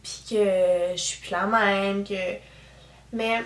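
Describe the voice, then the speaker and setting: A woman's voice: medium pitch, little pitch movement, slow, fairly bright tone. An 18-year-old woman with a strong Québécois French accent, chatting candidly to her viewers in a casual vlog, full of fillers. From Quebec. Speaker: YouTuber. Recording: roomy echo, camera at a distance.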